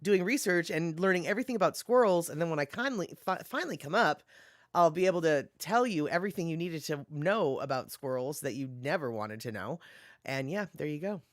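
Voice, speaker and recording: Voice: mid-range voice, lively intonation, a little fast, dry recording, studio quality. A livestream host chatting casually and reading viewer comments aloud, laughing often and musing while scrolling. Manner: frequent fillers like "let's see here".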